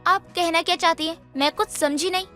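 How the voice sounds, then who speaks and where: A woman's voice: very high-pitched, fairly even intonation, brisk, bright, forceful tone, soft background music. A woman voicing a haughty young wife in a Hindi story cartoon, snappish, bossy and contemptuous. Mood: curt, guarded. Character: haughty, scornful young wife berating her husband. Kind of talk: Hindi animated story dialogue.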